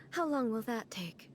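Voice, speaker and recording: A woman's voice: fairly high pitch, a little hiss or hum. A woman voicing a proud, solemn immortal goddess ruler in a video game, with formal, stern and reserved speech. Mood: softened, hesitant.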